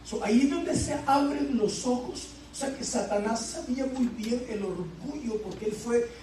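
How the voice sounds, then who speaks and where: Man pastor, sermon style, mellow tone, recorded far off in a very echoey church. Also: very high-pitched, moderate pace, slight background noise. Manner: asks the congregation questions, fillers like "este". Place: church sanctuary.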